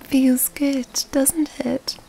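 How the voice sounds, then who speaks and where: A woman playing a seductive, mysterious female vampire in an ASMR roleplay, teasing and intimate in a soft, breathy whisper. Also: high-pitched, level tone, heavily acted, poor audio. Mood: hungry, seductive. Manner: slow, intimate, teasing delivery.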